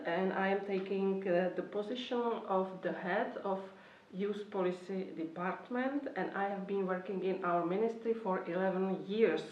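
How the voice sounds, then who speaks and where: A woman from the Czech Republic with a Czech accent gives an explanatory talk as a government official, choosing her phrases carefully and haltingly in non-native English. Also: mid-range voice. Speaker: government youth policy official. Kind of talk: explanatory talk for an online course. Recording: roomy, distant, slightly dull microphone.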